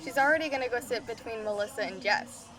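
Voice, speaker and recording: A woman's voice: fairly high pitch, fast talker, close to an everyday voice. A woman playing a clingy, girlish teenage camper in audio drama dialogue. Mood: fawning, nervous.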